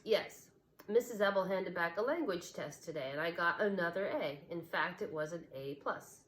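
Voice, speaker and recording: A woman voicing a defensive schoolboy who talks quickly and insistently in a read-aloud. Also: fairly low pitch, a bit bright, reverberant, close to an everyday voice. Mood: boastful.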